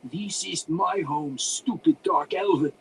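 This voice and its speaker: A hysterical old hermit wizard, pitched well up into a shriek. He rants in broken grammar, cranky and paranoid, with wildly swinging intonation and faint noise behind. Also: sing-song, measured pace. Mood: indignant ranting. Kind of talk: audiobook character voice.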